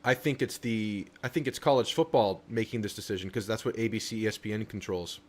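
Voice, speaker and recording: A man's voice: fairly low pitch, fairly quick. A young man hosting a casual live stream, reading viewer messages aloud and answering them. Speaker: livestream host.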